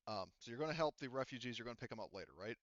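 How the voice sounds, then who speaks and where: A man's voice: medium pitch, lively intonation, racing, pushed and bright, no echo. A man narrating as game master of a tabletop game, rambling through scene details with many fillers and restarts. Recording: slightly dull lo-fi audio.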